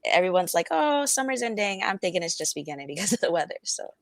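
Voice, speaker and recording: A woman's voice: on the low side, sing-song, fast talker, gravelly. A young adult woman, an actress and interview guest, chatting casually and upbeat.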